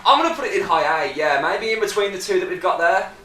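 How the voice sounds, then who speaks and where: A man's voice: high-pitched, animated, fast, sharp tone, faint music behind. Young man chatting in an echoey room. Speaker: guest friend giving ratings. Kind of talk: casual chat among friends. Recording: echoey room with faint noise.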